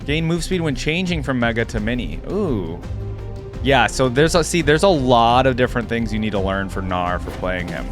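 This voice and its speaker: A man's voice: mid-range voice, animated, normal speed, over music. A man giving casual reaction commentary on a video game, conversational and thinking aloud as he reads and adds asides. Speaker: YouTube reaction host.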